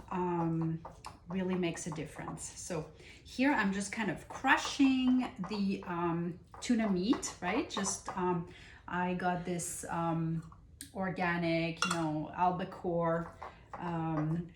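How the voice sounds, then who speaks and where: A woman from France with a French accent hosts a cooking demonstration, talking with unhurried enthusiasm and many pauses and fillers. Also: on the low side, slight background noise. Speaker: cooking show host and nutrition coach. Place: kitchen. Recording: echoey kitchen room.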